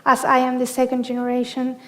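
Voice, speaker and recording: High-voiced young woman from Argentina. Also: monotone, a little fast. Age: young adult. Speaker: panelist, education advocate. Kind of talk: prepared self-introduction. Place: university conference hall.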